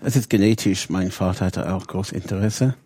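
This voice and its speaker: Man aged 59, English accent, mellow, dry close sound, interview guest reminiscing. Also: deep voice, moderate pace, husky, dry recording. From Bradford, Yorkshire, England. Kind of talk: conversational autobiographical storytelling.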